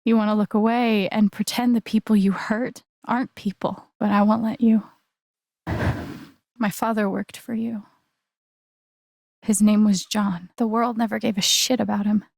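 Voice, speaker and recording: A woman's voice: medium pitch, steady tone, moderate pace, creaky, pauses often, darkish tone, dry recording, clean studio sound. A woman playing an earnest, wounded but defiant young video game heroine, speaking in a plain, emotionally charged way. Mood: confrontational, bitter, then grieving, resolute. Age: young adult. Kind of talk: dramatic video game voice acting.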